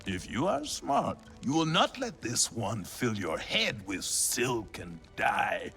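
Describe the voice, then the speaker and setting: A man's voice: medium pitch, big pitch swings, takes it slowly, creaky, fairly bright tone, full character voice. A man playing a sardonic, grudging alien clansman with a dry, sarcastic drawl in a game cutscene over music. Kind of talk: video game cutscene acting. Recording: music behind the voice.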